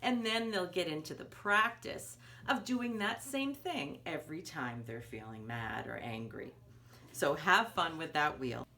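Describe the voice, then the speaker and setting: A woman's voice: fairly low pitch, sharp tone. A woman presenting a children's storytime, patiently explaining a craft step by step in simple words to parents of young children. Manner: simple patient explaining, often refers to herself in third person.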